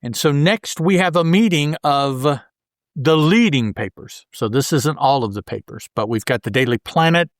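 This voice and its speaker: A man's voice: a little high, moderate pace, no echo, studio quality. A man co-hosting a podcast, talking through comic books in a casual, rambling, conversational way. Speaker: podcast co-host. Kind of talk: casual comic book discussion.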